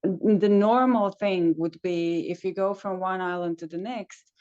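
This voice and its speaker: A woman's voice: lowish voice, steady tone, unhurried, a little mellow, clear, resonant tone. Croatian-born woman, hesitant, muffled call audio. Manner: hesitant, searching for words with many fillers. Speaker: travel consultant guest. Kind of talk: casual interview chat. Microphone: video call.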